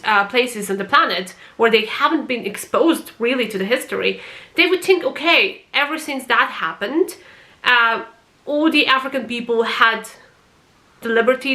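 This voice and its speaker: A woman from Croatia speaks English as a second language in a casual vlog. She reviews a TV series with a bright, slightly high voice and gets passionate, swearing now and then for emphasis.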